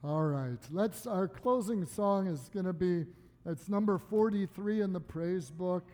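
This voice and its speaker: Woman, children's church leader, gentle teacherly manner, slow pace, echoey hall. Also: on the low side. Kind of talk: children's sermon and skit. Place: church sanctuary.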